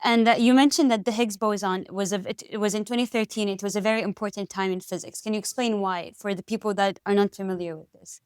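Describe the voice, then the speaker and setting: A woman's voice: medium pitch, fairly even intonation, fast, few pauses, smooth, no echo, studio quality. A woman hosting a science podcast, eager and upbeat, calling herself a nerd and asking a guest questions. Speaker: podcast host. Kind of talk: enthusiastic scripted intro, then interview questions.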